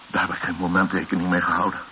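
Man playing a low-voiced, composed rebel major. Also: monotone, rapid-fire, narrow, tinny audio, close to an everyday voice. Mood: guarded. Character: composed, cooperative male rebel army major. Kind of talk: radio drama acting. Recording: noisy band-limited old radio recording.

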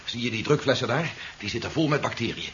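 An actor playing a bold, impatient commander in a 1970s radio drama, decisive and given to forceful orders. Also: male voice, mid-range voice, quick pace, lightly acted. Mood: earnest, planning. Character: bold, commanding rebel general, impatient and determined. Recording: muffled, noisy 1970s radio recording.

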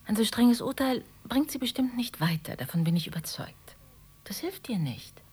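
An actress playing an anxious, chatty, apologetic middle-aged shopkeeper in a radio drama, rambling on politely and breathily. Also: female voice, a little high, lively intonation, moderate pace, a little mellow, husky. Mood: gently insistent.

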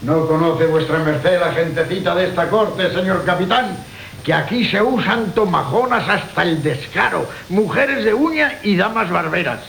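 An actor playing a sly, gossipy go-between in a classical radio comedy, wordy, theatrical, mocking and persuasive. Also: male voice, high-pitched, animated, moderate pace, creaky, fairly bright tone, full character voice. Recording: hissy old radio broadcast.

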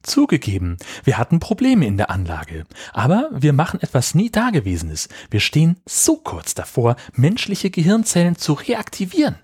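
A man's voice: a little high, huge pitch swings, normal speed, few pauses, darkish tone, husky, pitched above the performer's own voice, exaggerated voice. A man voicing an earnest female film scientist who pleads for her project. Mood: earnest, pleading.

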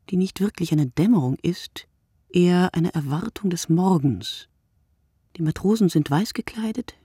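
A weary, sleepless woman musing through the night in a radio play. Her voice is low and husky, recorded very dry and close. She lays out short literary fragments in a measured, hushed, contemplative way. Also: no echo, heavily acted.

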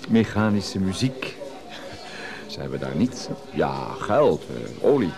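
An actor playing a prying, condescending male antique dealer in a radio drama, formal and insinuating, with hesitant pauses. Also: low-pitched, sing-song, gravelly, over music, heavily acted. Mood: prying, feigned politeness. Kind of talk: radio drama acting, dialogue.

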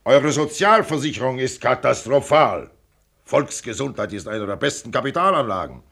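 Radio play: self-assured older millionaire, gravelly and blunt, clipped commands, swinging intonation. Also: male voice, mid-range voice, big pitch swings, normal speed, fairly bright tone, exaggerated voice. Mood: commanding. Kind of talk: radio drama acting.

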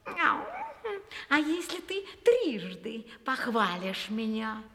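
A woman playing a sly, proud, flattering wild cat who meows between coaxing phrases in an audio fairy-tale play. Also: on the high side, slow, full character voice. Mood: cunning, bargaining. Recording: long reverb, faint noise.

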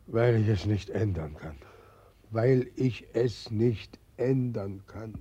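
An actor playing an old, tearful god whom nobody believes in anymore, in a 1940s radio play. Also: male voice, lowish voice, lively intonation, slow, dark tone, slightly rough, exaggerated voice. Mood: grieving. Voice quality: tearful, lamenting. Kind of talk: 1940s radio drama acting. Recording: dull lo-fi 1940s radio recording.

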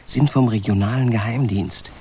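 A man's voice: fairly low pitch, normal speed, dark tone, slight background noise, lightly acted. An actor playing a wry, ironic man who muses about the people watching him, in a radio play monologue. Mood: wry, musing. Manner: ironic, musing. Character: wry man under surveillance, narrating his watchers.

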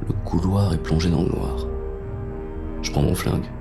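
Actor as very deep, gravelly hunted man. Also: steady tone, normal speed, music in the background. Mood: wary. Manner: crude, colloquial. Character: confused hunted man, crude and lustful, narrating his escape. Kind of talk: first-person audio drama narration with dialogue.

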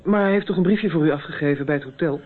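A man's voice: fairly high pitch, racing, husky. An actor playing a stubborn, defensive young man in a Dutch radio drama. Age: young adult. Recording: phone-like old radio recording, noisy.

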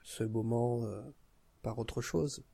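A man's voice: medium pitch, steady tone, slow pace, creaky, mellow. A man in casual conversation as a podcast guest, speaking in an informal, slangy way. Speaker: podcast guest and audio drama creator. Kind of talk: casual chat.

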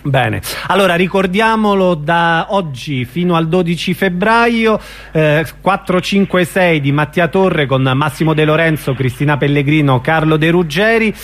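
A male radio interviewer with a somewhat high voice. He puts questions in a casual, familiar way, as if chatting with someone he knows. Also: moderate pace, a bit bright. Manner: informal, familiar with the guest.

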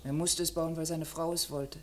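A woman's voice: deep voice, flat delivery, normal speed, natural voice. An actress playing a wistful, loyal, guarded unmarried woman over forty in a mid-century radio crime drama, speaking with restraint. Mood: defensive, earnest. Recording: noisy old radio drama recording.

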